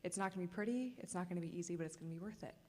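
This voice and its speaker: A woman's voice: fairly low pitch, rapid-fire, heavy background noise. Earnest woman filmmaker in a reverberant hall. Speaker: filmmaker guest. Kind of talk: answering questions at a festival Q&A. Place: theater stage at a film festival.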